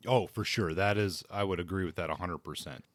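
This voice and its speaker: A man's voice: low-pitched, fairly quick, dry recording, clean studio sound. A man co-hosting a book podcast in casual discussion, thinking aloud and often rewording as he goes. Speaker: podcast co-host.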